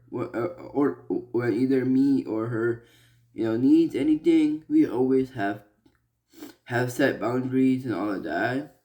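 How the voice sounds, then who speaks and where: A young man from Malaysia talking earnestly in a personal vlog, halting and restarting with many fillers. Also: medium pitch, a little mellow. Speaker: vlogger.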